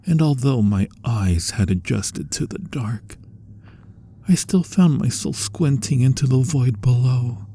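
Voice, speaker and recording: A man voicing an anguished vampire in a hushed, deliberate dramatic ASMR roleplay monologue, with pauses and breathy phrase endings. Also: medium pitch. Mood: haunted, dreading. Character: anguished male vampire, formerly human, confessing to lost love.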